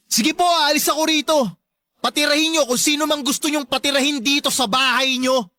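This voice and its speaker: A wounded, resentful son in a radio drama. His voice is very high and sharp, recorded close and dry. He argues back in an aggrieved, pleading manner. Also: fairly even intonation, normal speed, no echo. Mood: hurt, defiant. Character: hurt, resentful adult son standing up to his mother. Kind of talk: Tagalog radio drama acting.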